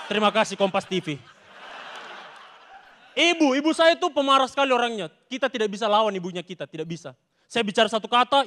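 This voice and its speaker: A young man delivers stand-up on a stage with a Makassar Indonesian accent. His voice sits high for a man, and he tells his stories with emphatic, theatrical punch. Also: bright, forceful tone. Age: young adult. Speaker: stand-up comedy contestant. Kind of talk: stand-up comedy performance. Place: large TV studio stage with audience. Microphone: handheld microphone.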